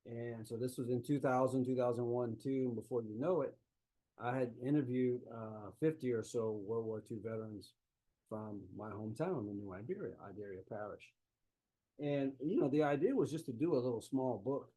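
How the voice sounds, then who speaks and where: Mellow-voiced South Louisiana man. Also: medium pitch, moderate pace, rough recording. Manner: folksy, easygoing storytelling. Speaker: author and historian giving a talk. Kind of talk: conversational remote lecture. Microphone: laptop microphone on a video call.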